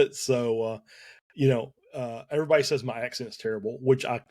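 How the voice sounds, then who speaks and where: A man with a Southern US accent chats as a guest over a call. He speaks in loose, halting phrases, full of fillers and restarts. His voice sits in an ordinary middle register. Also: medium pitch, dark tone. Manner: halting, many fillers and restarts, chuckles often. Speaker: guest, sim racing team co-owner. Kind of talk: casual podcast interview.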